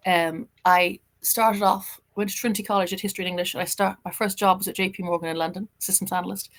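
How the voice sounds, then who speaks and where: A middle-aged Irish woman, a journalist and writer, chatting as a podcast guest and telling her story in an animated way. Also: medium pitch. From Ireland. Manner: animated storytelling, quick and lively. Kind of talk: casual interview chat.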